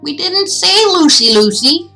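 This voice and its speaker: A woman's voice: very high voice, creaky, soft background music. A woman hosting a livestream, reading stories aloud expressively and chatting casually in between. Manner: measured, expressive reading; playful, chatty asides. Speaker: livestream host reading classic tales aloud. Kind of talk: story reading aloud with casual chat asides.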